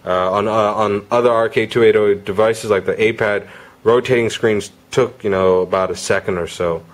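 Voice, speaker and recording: A man giving a casual, unscripted product review, halting and rephrasing as he goes. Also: on the low side, fairly even intonation.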